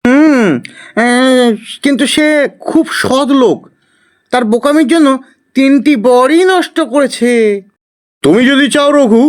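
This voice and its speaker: A man playing a benevolent king in an animated fairy tale, speaking in a measured, approving, regal manner. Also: very high voice. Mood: curious, approving. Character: benevolent king praising honest subjects, adult male.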